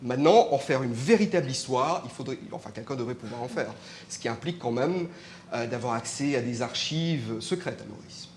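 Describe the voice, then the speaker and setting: A man's voice: medium pitch, lively intonation, fairly quick. A man with a mid-range voice speaks as a panelist, hesitant and searching for words. The sound is noticeably roomy, with echo around him, and a faint hiss sits underneath. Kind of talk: thinking aloud at a live conference.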